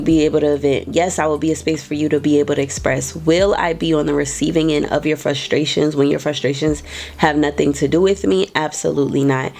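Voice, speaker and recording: A woman in her twenties or thirties hosts her own talk show and speaks straight to her audience about personal matters. Her voice sits low for a woman and sounds a bit nasal and stuffy from a cold. She talks in an earnest, emphatic way, often repeating a phrase to drive a point home. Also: a little mellow. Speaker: podcast host. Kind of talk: casual reflective talk to viewers.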